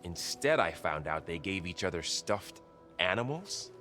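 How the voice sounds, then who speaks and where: A young soldier and loyal friend in a video game. His voice is deep, with a gentle, thoughtful delivery. He comes across as kind and easygoing. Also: sing-song, a little slow, soft background music, exaggerated voice. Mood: wry, amused. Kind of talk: video game cutscene acting.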